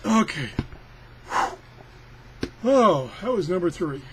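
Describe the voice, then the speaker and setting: A man's voice: high-pitched, big pitch swings, takes it slowly. An older man casually demonstrating a product in a rambling, folksy, self-deprecating, grumbling way. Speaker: product reviewer. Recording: clearly noisy amateur recording.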